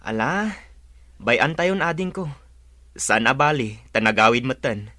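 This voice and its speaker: A man's voice: mid-range voice, lively intonation, unhurried, pushed and bright, dry recording, clean studio sound. A man playing an impatient young husband in an Ilocano radio drama, torn between wife and mother. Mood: placating.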